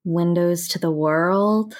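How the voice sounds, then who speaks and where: An actress playing a reserved, quietly earnest young female map conservator in a romantic comedy audio play, measured and gently self-effacing. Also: on the low side. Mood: reserved, amused. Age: young adult.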